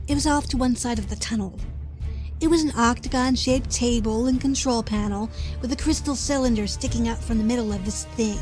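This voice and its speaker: A woman plays a flustered, sassy young companion telling an adventure in a radio play. Her voice is on the higher side, with casual, colloquial phrasing. Music runs clearly behind her. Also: a little fast, darkish tone, lightly acted. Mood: dramatic narrating. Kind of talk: first-person audio drama narration.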